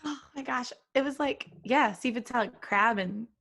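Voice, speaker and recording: A woman's voice: a little high, moderate pace, a bit bright. A woman co-hosting a live podcast stream on a video call, playful and teasing. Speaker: podcast co-host. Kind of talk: live-stream casual chat.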